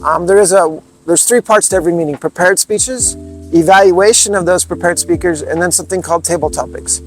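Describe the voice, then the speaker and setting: An American man from Montana, a guest in an interview, explaining things earnestly and telling stories in a relaxed conversational way. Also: high voice, a little fast, faint music behind, rough recording. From Montana, USA. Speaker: interview guest, speaking club founder.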